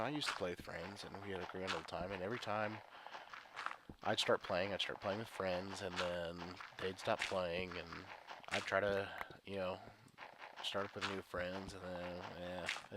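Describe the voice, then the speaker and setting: A man with a very deep voice talks through a stuffy head cold, sniffling as he rambles and chuckles over his gameplay. Loud steady noise and clicking sit under the home recording. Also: moderate pace. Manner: rambling, chatty, chuckles often. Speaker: gaming video creator. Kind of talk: casual gameplay commentary.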